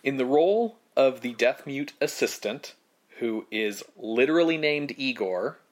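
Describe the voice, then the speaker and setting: A man's voice: medium pitch, a little slow. Man reading out film history facts. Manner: reads prepared facts, relaxed. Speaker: podcast co-host. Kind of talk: casual film history chat.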